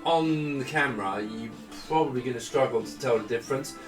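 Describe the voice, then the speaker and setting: A man's voice: medium pitch, fairly quick, music in the background. Roomy, distant man, chatty demonstrator. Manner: chatty, self-deprecating, rambling. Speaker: presenter demonstrating retro computer hardware. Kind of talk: casual off-the-cuff product demonstration. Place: small indoor room at a desk. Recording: roomy, distant camera microphone.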